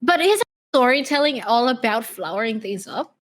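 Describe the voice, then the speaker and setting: A woman's voice: fairly high pitch, moderate pace. A woman in her twenties with an accent that wavers between Australian and American, co-hosting a casual podcast chat with lots of laughter and swearing. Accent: Australian mixed with American. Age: 20s. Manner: swearing, laughing often, lively banter. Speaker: podcast co-host.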